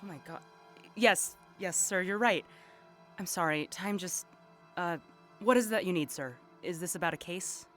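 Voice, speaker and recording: A woman's voice: lowish voice, normal speed, a bit bright, faint music behind, natural voice. A woman acting as a weary detective in an audio drama, hesitant and deferential to her boss. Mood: flustered. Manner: hesitant, flustered.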